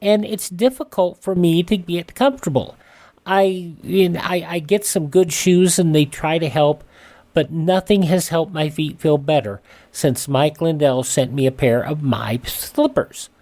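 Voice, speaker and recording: A man's voice: on the high side, measured pace, darkish tone. A man with an American accent presenting a radio show in a folksy, conversational, personal way. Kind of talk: conversational presenting and host-read endorsement.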